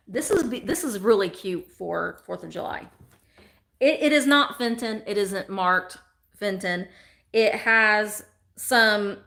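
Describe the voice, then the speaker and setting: A woman's voice: on the high side, sharp tone. A woman in her forties hosting a live vintage sale from home, chatting and joking as she shows items. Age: 40s. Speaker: online vintage seller.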